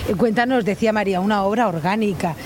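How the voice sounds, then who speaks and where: A woman's voice: mid-range voice, quick pace, a little mellow. A woman with a Spain accent hosts an informal interview in a mid-range voice. There is faint ambient noise around her as she puts questions to guests. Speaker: interviewer and host.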